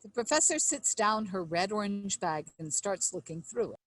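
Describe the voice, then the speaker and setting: A woman reading the stage directions aloud in a staged play reading, plain and even. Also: mid-range voice, normal speed, dry recording, poor audio.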